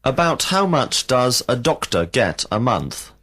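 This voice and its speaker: A man reading out slow, carefully enunciated drill questions as an English teacher in a recorded lesson. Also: medium pitch, sing-song, fairly bright tone, slightly rough. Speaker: language teacher.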